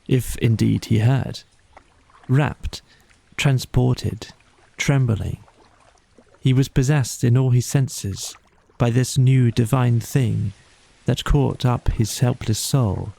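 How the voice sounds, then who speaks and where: A man narrating a bedtime storybook in a measured, solemn, literary manner. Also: medium pitch, creaky, warm tone. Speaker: audiobook narrator. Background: music.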